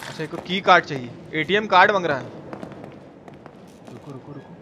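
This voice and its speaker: High-pitched man streaming a game. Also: animated, fairly quick, bright, forceful tone. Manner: informal, foul-mouthed, talking to viewers. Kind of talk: live gameplay commentary with chat shout-outs. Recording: clear background noise and game ambience. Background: video game ambience.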